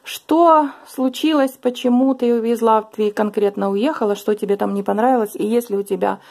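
A middle-aged woman hosts a chatty, affectionate interview in a small living room. Her voice sits a little high and has a noticeable gravelly fry to it, and she speaks to a camera set across the table. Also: moderate pace, darkish tone. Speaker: vlogger host. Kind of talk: casual interview.

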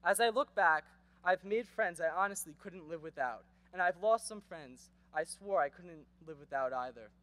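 A man's voice: high-pitched, expressive, normal speed, fairly bright tone. A teenage boy giving a prepared graduation speech at a podium microphone on an outdoor stage, earnest and rhetorical with wry asides. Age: teens. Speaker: graduation speaker.

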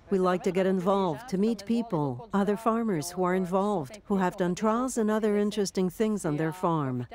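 A woman speaking a scripted translated voice-over in a calm, explanatory way. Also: medium pitch. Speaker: voice-over interpreter.

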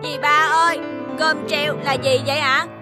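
Very high, curious little cartoon creature. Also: female voice, fairly even intonation, measured pace, music in the background. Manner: inquisitive, childlike. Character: small, cute, curious blue-green magical creature friend. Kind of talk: children's cartoon voice acting.

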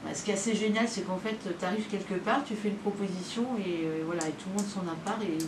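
A woman's voice: medium pitch, steady tone, quick pace. Middle-aged woman, echoey and distant. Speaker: association representative. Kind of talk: informal spoken presentation at a partnership signing. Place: small indoor meeting room with a group standing behind. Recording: echoey, noisy room, distant microphone.

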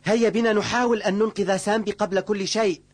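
A man voicing a steady young male friend in a cartoon dub, calm and coaxing. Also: high voice, fast talker, no echo.

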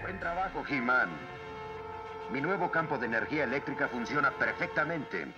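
A man plays a friendly, proud soldier-inventor from a cartoon. His mid-pitched voice speaks Latin American Spanish in dub style over loud music. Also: expressive, moderate pace, highs cut off, close to an everyday voice. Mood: pleased. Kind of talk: dubbed cartoon acting.

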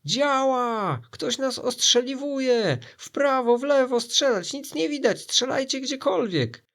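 A frantic sailor in a storybook sea battle, acted out by a male narrator. He shouts alarmed orders in a strained voice pitched far above an ordinary man's. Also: lively intonation, takes it slowly, full character voice. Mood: panicked.

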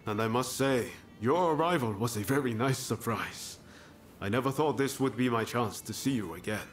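An actor playing a bold rebel samurai in a video game cutscene, decisive and commanding yet friendly. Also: male voice, mid-range voice, moderate pace, close to an everyday voice. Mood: warm. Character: bold rebel samurai rallying allies against bloodshed. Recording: game dialogue over clear background music.

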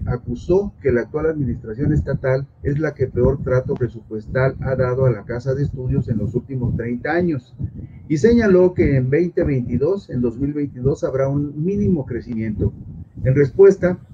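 Mexican man on a lo-fi video call. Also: mid-range voice. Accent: Mexican Spanish. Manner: measured, emphatic, explanatory. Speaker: journalist guest. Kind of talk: political commentary. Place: home study with bookshelves. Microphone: video call webcam. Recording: dull lo-fi video call with clear noise.

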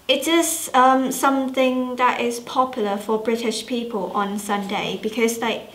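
A woman's voice: on the high side, fairly bright tone, roomy sound. A woman from Thailand, presenting casually with many hesitations and fillers. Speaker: student presenter.

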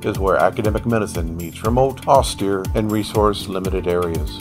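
A man hosting a podcast interview, speaking in a relaxed, conversational way. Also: low-pitched, brisk, over music. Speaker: podcast host.

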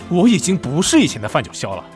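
Flustered young prince regent, played by a man, wildly swooping lowish voice, stammering costume radio drama, reverberant with music. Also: huge pitch swings, quick pace, a little mellow. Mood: solemn. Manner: stammering, theatrical, exasperated. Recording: echoey reverb with music behind.

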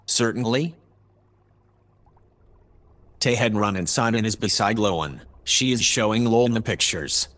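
Man as a calm low-voiced AI narrator. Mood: calm, analytical. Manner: measured, formal, precise. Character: calm analytical AI narrator assistant aboard a spaceship. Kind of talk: audio drama narration.

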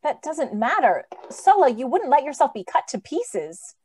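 Woman playing a high-voiced, earnest idealistic lady. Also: very animated, moderate pace, dark tone. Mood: pleading, outraged. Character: idealistic, indignant young lady visitor. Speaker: actor. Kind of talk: old-time radio drama acting. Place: indoors on a video call.

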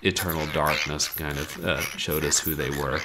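A man in his thirties, a game streamer chatting casually at home in a wry, rambling, animated way. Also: extremely low pitch, normal speed, heavy background noise. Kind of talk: casual livestream chat. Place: small room at home, indoors.

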